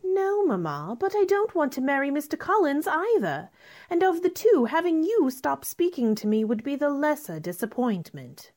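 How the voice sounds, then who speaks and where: A woman playing a polite but headstrong, outspoken young gentlewoman in a radio drama. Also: high-pitched, big pitch swings, normal speed. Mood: firm. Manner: polite, firm, spirited. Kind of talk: theatrical radio drama acting.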